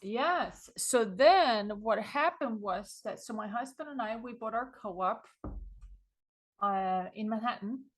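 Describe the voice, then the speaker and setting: A woman's voice: on the high side, slow pace. Swedish-accented woman telling her story. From Sweden. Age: middle-aged. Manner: animated storytelling, chuckles often. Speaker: guest author. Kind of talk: conversational interview.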